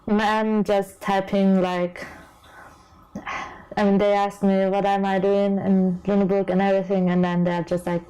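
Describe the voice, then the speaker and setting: Flat-voiced young Turkish woman. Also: mid-range voice, a little slow, clean tone, poor audio. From Turkey. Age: 20s. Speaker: exchange student interviewee. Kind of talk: candid interview answers.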